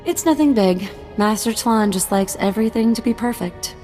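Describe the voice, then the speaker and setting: An actress playing a harried but loyal young servant in a video game, speaking in an informal, casual way. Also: female voice, on the high side, expressive, moderate pace, mellow, natural voice. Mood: flustered, polite. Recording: reverberant, faint music behind.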